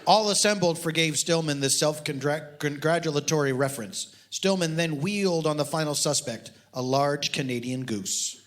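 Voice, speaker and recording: Guy reading a silly novel onstage, deadpan and pompous, slightly high voice. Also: normal speed, few pauses. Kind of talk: mock-serious story reading before a live audience. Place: live stage show.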